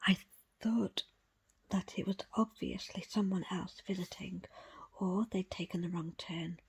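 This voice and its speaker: A woman reading a book aloud in an ASMR style, in a breathy, unvoiced whisper with hushed, measured phrasing. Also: fairly low pitch, fairly even intonation, slight background noise. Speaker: book reader presenting an audiobook extract.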